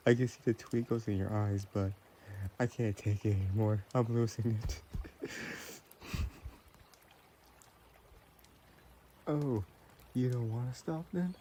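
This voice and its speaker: A man's voice: mid-range voice, takes it slowly, long pauses, dark tone, slight background noise, close to an everyday voice. A man acting as a possessive, romantic vampire boyfriend in an intimate audio roleplay, tender and teasing as he talks to the listener. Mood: playful, giddy.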